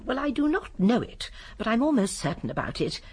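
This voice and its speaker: A woman playing a shrewd, genteel elderly English lady sleuth in a radio drama, speaking primly and precisely with a gently assured manner. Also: on the high side, big pitch swings, brisk, a little hiss or hum. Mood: explaining. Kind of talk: BBC radio drama acting.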